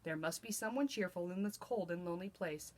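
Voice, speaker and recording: Storybook court jester, played by a woman: earnest, hopeful, troubled but determined, expressive rhyming delivery. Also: fairly low pitch, brisk, close to an everyday voice.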